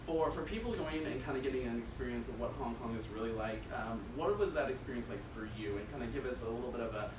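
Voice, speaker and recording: A man's voice: medium pitch, fast, hardly any pauses. A man in his thirties hosting an interview with a bubbly, enthusiastic manner and many fillers. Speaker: talk show host. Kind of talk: upbeat interview chat. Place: bright room with curtains, indoors. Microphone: far camera. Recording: roomy, distant, noisy room sound.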